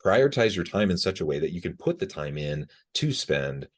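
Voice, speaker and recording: A man's voice: sits low, sing-song, fairly quick, husky. A man hosting a podcast interview, friendly and affirming, with frequent fillers. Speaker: podcast host.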